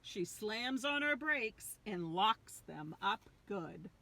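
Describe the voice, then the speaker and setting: A woman's voice: mid-range voice, lively intonation, slow, bright, forceful tone, slight background noise. An older woman reading a picture book aloud to young children, drawing out key words with dramatic emphasis.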